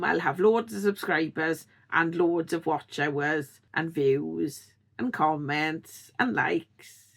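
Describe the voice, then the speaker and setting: A woman's voice: lowish voice, fairly bright tone. A middle-aged woman with a strong Welsh accent, talking straight to camera as the host of her own tips channel in an encouraging, conversational way. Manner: encouraging, conversational, checks in with "okay?". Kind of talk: direct-to-camera tips video.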